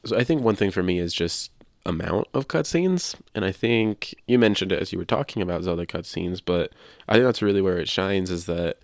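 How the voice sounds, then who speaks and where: A man co-hosting a podcast, talking casually about video games in a measured, explanatory and opinionated way. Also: deep voice, animated, no echo, clean studio sound. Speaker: podcast co-host.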